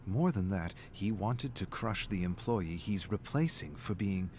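A man narrates a scripted game story. His voice is deep and his delivery measured and dramatic, with faint ambient noise behind him. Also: lively intonation.

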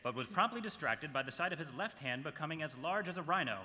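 A man's voice: on the high side, animated, fast talker, pushed and bright. A man playing an earnest American television newscaster at a live table read. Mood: serious. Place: panel table in large convention hall. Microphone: table microphone. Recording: echoey convention hall.

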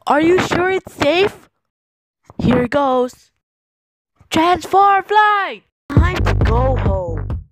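A woman acting out amateur cartoon lines as an excitable young superhero. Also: sits high, extremely slow, pauses often, soft background music. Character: excitable young superhero shouting battle calls.

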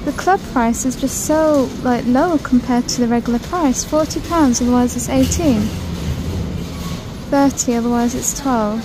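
A woman's voice: high voice, measured pace, noisy background. A woman vlogging a walk through a supermarket on her phone, chatting and gushing over items as she reads out prices. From United Kingdom. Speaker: shopping vlogger. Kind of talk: shop-with-me walkthrough narration. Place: large supermarket, indoors.

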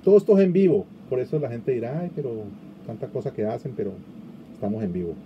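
A man's voice: mid-range voice, very animated, quick pace, muffled recording, a little hiss or hum. A middle-aged man hosting a live online interview over a video call, chatting easily and reading out viewer comments. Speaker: interview host. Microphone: video call webcam.

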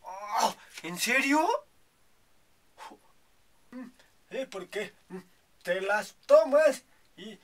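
Guy doing a high reedy old mexican grandpa, super slow, rambling and bossy. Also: expressive, full character voice. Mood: preachy. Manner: rambling, tags nearly every phrase with "hijo, ¿eh?". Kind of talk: comedy sketch acting.

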